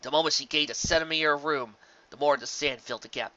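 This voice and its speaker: A narrator reading a scripted story aloud with an expressive, dramatic storytelling delivery. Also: mid-range voice, fast, dry recording, highs cut off. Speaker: audiobook narrator.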